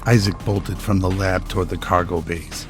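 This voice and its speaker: A man's voice: low-pitched, fairly even intonation, normal speed, over music. A man delivering dramatic scripted narration as the storyteller of an audio drama.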